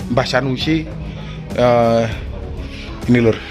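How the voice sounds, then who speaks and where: A man hosting a casual vlog outdoors, asking quick questions and repeating the answers back. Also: mid-range voice, steady tone. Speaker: vlog host. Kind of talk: casual field vlog interview. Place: outdoors at an old graveyard. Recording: music bed under the talk.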